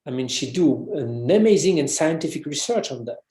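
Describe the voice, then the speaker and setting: A man's voice: on the high side, expressive, a little fast, dark tone, roomy sound. A man from France speaking English with a French accent as an interview guest, hesitant, with many restarts. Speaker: guest, researcher and author. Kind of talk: casual interview conversation.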